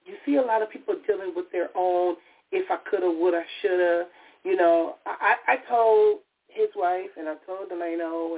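A woman with a raspy voice, recovering from a cold, hosting a solo radio talk show as a therapist, speaking in a heartfelt, personal way with restarts and stammers. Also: on the low side. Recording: tinny phone-line call-in audio.

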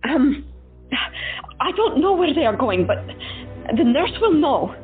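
A woman's voice: high-pitched, big pitch swings, measured pace. A woman playing Stella, a caring but flustered doctor in 1917, speaking emotionally to patients and relatives. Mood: defensive. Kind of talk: amateur audio drama acting. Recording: tinny phone-like sound, faint music.

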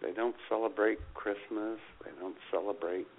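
A man's voice: lowish voice, steady tone, moderate pace, gravelly. A man co-hosting a comedy radio show, chatting casually in a folksy, irreverent storytelling way. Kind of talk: casual joking banter. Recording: narrow phone-like audio.

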